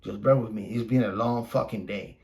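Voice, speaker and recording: A man who is a native Spanish speaker hosting a podcast, delivering an opinionated casual monologue in a blunt, profane, repetitive and halting manner. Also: lowish voice, fairly even intonation, creaky, a little mellow. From Puerto Rico. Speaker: podcast host.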